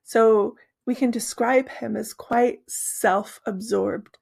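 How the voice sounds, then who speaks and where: Hoarse, gravelly woman teaching English. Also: a little high, fairly even intonation, takes it slowly, darkish tone. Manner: patient, explanatory, with frequent ums and restarts. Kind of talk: explaining vocabulary from a short story.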